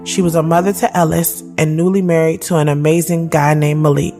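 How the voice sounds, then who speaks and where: A woman acting as a reflective thirty-year-old woman narrates her own story in an audio drama. Her voice sits a little low, and she talks in a confiding, slangy, conversational way. Music plays clearly behind her. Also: level tone, a little fast. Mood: fond, reflective. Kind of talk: first-person scripted audio drama narration. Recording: loud music bed behind the voice.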